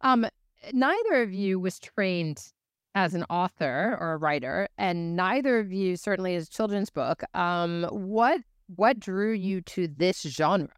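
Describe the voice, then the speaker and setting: Clear-voiced woman hosting an interview. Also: on the low side, lively intonation, measured pace, dry recording, clean studio sound. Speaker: podcast host, interviewer. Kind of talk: conversational interviewing.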